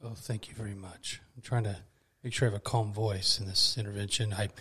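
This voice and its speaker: A man's voice: fairly low pitch, steady tone, brisk, pushed and bright, slightly rough. A man, a church pastor, playing a mock therapist on a podcast in a dry, joking manner. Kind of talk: playful mock therapy session.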